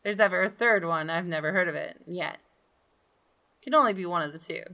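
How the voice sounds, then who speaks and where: A woman reading a visual novel aloud as expressive, dramatic storytelling for a gaming video. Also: fairly low pitch, a little fast. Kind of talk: expressive scripted narration with brief asides. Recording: game music behind the voice. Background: soft game music.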